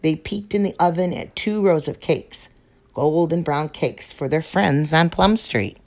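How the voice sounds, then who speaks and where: A woman reads a picture book aloud to children at an unhurried pace. Her voice is low for a woman, gentle and expressive. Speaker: storyteller.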